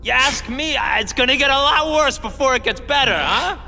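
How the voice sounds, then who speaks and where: A man's voice: very high voice, a little fast, gravelly, pushed and bright, a little hiss or hum, heavily acted. A man playing a jittery, blustering street criminal in a video game cutscene, stammering and tagging his lines with nervous questions. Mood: nervous, evasive. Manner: stammering, punctuates talk with questioning tags.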